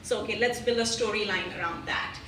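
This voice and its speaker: A woman founder giving a live startup pitch, talking in a hesitant way with many fillers. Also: brisk, a bit bright. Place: large meetup venue room. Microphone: livestream phone mic across the room. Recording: echoey room, heard from far off, noisy.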